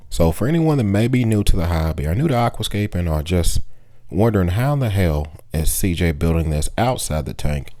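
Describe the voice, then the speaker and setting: Young adult male, casual tips voice-over, deep voice, dry close sound. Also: no echo. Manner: relaxed, chatty, gives tips with small laughs. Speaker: aquarium hobbyist vlogger.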